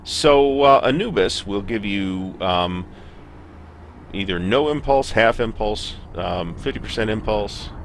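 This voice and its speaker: A man giving casual gameplay commentary and software explanation in a hesitant, rambling way. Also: fairly low pitch, slow, slight background noise. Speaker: gaming video host. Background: spaceship game ambience.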